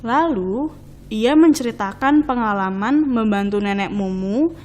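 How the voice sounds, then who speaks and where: A woman reading a children's storybook as narrator, in expressive, deliberate, measured phrases. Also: on the high side.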